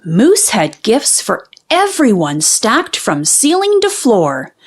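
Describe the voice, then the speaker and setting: A woman reading a children's storybook aloud in an expressive, deliberate way with dramatic pauses. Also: fairly high pitch. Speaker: children's book reader. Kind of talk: animated storybook read-aloud.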